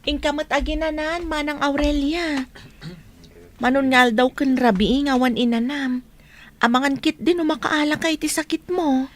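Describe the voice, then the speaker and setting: A woman's voice: high voice, steady tone, moderate pace, natural voice. A woman playing a kind, supportive village friend in a radio drama, speaking earnestly and with sympathy. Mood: reassuring.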